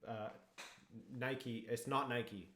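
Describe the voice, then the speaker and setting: A man's voice: mid-range voice, fairly even intonation, slow pace, bright, forceful tone, slight background noise. A man hosting a live football watchalong stream, chatting casually with viewers and reacting to the match. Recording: echoey room.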